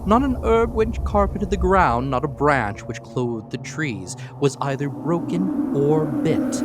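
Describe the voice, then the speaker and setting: A man's voice: medium pitch, very noisy. A man narrating a classic story as a dramatic reading, with theatrical, emphatic phrasing. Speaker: audiobook narrator.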